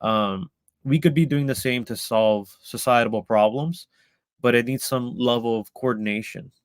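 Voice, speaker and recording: A man from El Salvador gives a live online talk, pausing often with ums and small restarts. His voice is smooth and clear. It sits at an ordinary pitch in a dry, close recording. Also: no room sound. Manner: hesitant, many ums and restarts. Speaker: community founder presenting.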